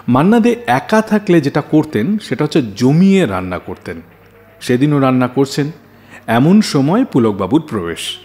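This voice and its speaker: A man's voice: medium pitch, a little mellow. A man hosting a radio show, telling scripted stories in a dramatic way and putting questions to listeners.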